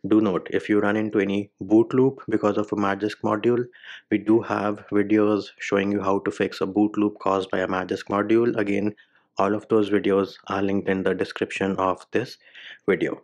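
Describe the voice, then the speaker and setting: A man's voice: fairly low pitch, level tone, moderate pace, a little mellow. A man hosting a phone tutorial voice-over, giving informal step-by-step instructions in short clipped phrases. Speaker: tech tutorial video host.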